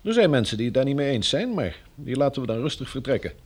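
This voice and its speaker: Polite but firm pioneer envoy in a radio play, formal, persuasive, super varied intonation. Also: male voice, mid-range voice, big pitch swings, quick pace. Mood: firm, diplomatic. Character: courteous, firm male envoy of a pioneer community.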